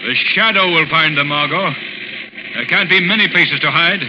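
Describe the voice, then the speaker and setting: A man playing a resolute, assured gentleman sleuth and pilot in a 1930s radio drama. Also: a little high, normal speed, natural voice. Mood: resolute, alert. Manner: crisp, earnest, assured. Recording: noisy muffled 1930s radio recording, engine drone.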